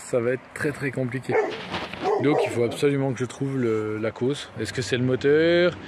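Casual young Frenchman vlogging, noisy recording. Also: mid-range voice. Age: young adult. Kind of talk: casual vlog chat. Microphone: phone at arm's length.

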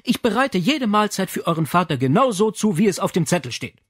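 Man voicing an exasperated, high-pitched Italian mother. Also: very animated, fairly quick, husky, dry recording, clean studio sound, full character voice. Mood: aggrieved. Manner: plaintive, fretful. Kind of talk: audiobook character acting.

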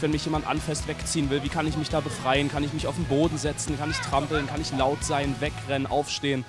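A young man from Berlin explains self-defence training quickly and fluently into a handheld mic. His voice sits a touch high, and music is clearly audible behind him. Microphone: handheld interview mic.

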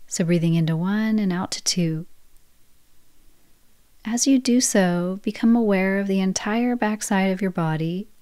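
A woman guiding a yoga nidra relaxation practice, speaking in slow, soft, soothing phrases. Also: mid-range voice, smooth, no echo, clean studio sound. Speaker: meditation guide.

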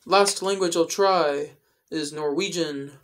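A young adult man explaining things in a casual vlog style, with careful, halting phrasing, recorded indoors at home. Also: fairly high pitch, fairly bright tone, slight background noise. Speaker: video creator. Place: indoors, small room at home.

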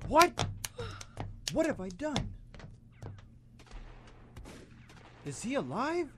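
A high, very animated young male voice acted by a man plays a cocky high-school rebel turned masked vigilante. It is theatrical radio drama with clear noise and effects behind it. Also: a little slow, exaggerated voice. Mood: anguished. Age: young adult. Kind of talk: student live radio theatre acting. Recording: noisy live radio play with gunfire effects.

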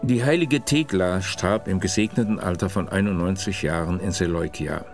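Deep-voiced storytelling father. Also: normal speed, music in the background. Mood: kindly, explaining. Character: kindly, knowledgeable father telling saints' stories. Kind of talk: scripted audio drama storytelling.

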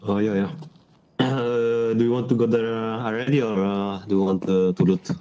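A man's voice: on the low side, fairly even intonation, moderate pace, warm tone, highs cut off. A man met in an online game, talking over voice chat, hesitant and full of fillers.

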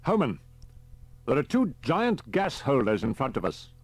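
A man voicing a brisk, gruff male army captain in an audiobook, clipped and matter-of-fact. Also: mid-range voice, huge pitch swings, dry recording, pitched above the performer's own voice, exaggerated voice. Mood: urgent.

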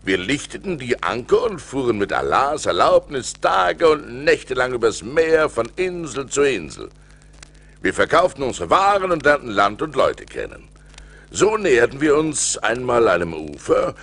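A man's voice: mid-range voice, sing-song, moderate pace, vocal fry, fairly bright tone, exaggerated voice. An actor playing an adventurous seafarer recounting his voyages in a theatrical, precise German audio-play style, on a vintage vinyl record. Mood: reflective, suspenseful. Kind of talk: dramatic scripted storytelling, audio play.

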